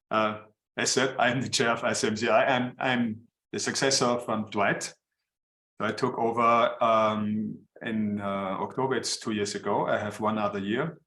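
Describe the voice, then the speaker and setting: A man with an Austrian accent introducing himself as chair on a video call, speaking in a halting way with many fillers. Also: lowish voice, little pitch movement, pushed and bright, echoey room, low-quality recording. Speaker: chair of the association.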